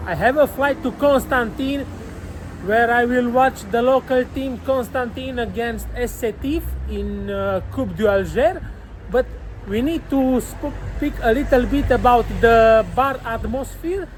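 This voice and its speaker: Man, football travel vlogger, halting English, high voice, faint street noise. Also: rough recording. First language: Romanian. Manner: halting non-native English with many fillers. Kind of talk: casual travel vlog narration.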